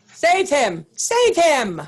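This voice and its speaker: A woman playing a righteous, commanding nobleman in a Shakespearean stage reading, speaking in a deliberate, declamatory way. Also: high-pitched, huge pitch swings, full character voice. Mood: stern, outraged. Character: righteous, commanding nobleman confronting a wicked wife. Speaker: amateur actor in a reader's theatre.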